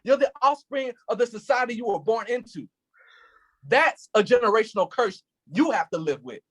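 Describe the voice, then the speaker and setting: A man's voice: extremely high pitch, animated, normal speed, a bit bright, breathy edge, full character voice, rough recording. An actor playing an anguished, furious police officer who is grieving his cousin, in a staged play reading with an intense, impassioned delivery. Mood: bitter.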